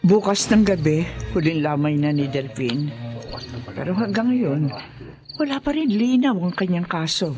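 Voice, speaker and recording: A woman's voice: fairly low pitch, animated, measured pace, mellow, faint music behind. A woman acting a concerned, firm but caring elderly grandmother in a Filipino radio horror drama. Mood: sorrowful. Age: older.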